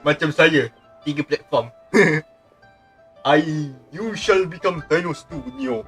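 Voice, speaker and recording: A man's voice: on the high side, lively intonation, slow, fairly bright tone, faint music behind. A man with a Malaysian accent chatting casually and jokingly as a game livestreamer.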